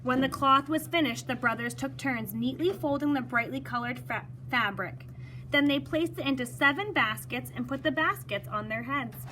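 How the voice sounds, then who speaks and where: Lady with a high voice reading a kids book out loud, animated, bit of noise behind her. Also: poor audio. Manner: animated, acting out dialogue. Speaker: storyteller reading a children's book aloud. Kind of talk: expressive read-aloud with brief explanations for children.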